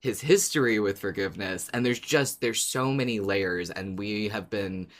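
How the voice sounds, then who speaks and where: A man's voice: on the low side, moderate pace, fairly bright tone. A man co-hosting a podcast in casual discussion, speaking thoughtfully and circling back over his words. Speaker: podcast co-host.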